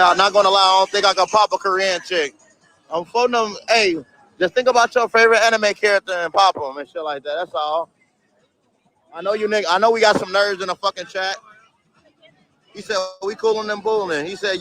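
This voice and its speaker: A man's voice: high-pitched, brisk, bright, forceful tone, poor audio. A young man livestreaming from a city street, chatting loosely with his viewers in heavy slang and swearing, reading comments aloud and laughing at them.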